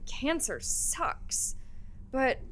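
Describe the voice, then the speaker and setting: A woman's voice: high-pitched, big pitch swings, very slow, fairly bright tone, full character voice, poor audio. A young woman playing a sweet, earnest, affectionate graduate in an audio drama. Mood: tender. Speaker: actor in an audio play.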